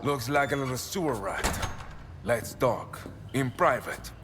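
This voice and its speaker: A man's voice: mid-range voice, expressive, unhurried, very noisy, close to an everyday voice. A man acting a menacing casino security heavy in a video game cutscene. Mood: menacing, sneering. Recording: loud music bed behind the voice. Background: loud music.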